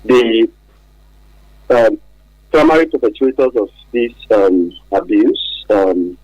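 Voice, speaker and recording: Halting, low-voiced Nigerian man, mellow. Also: animated, no echo, rough recording. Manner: halting, deliberate, pausing often to search for words. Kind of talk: radio interview, explanatory answers.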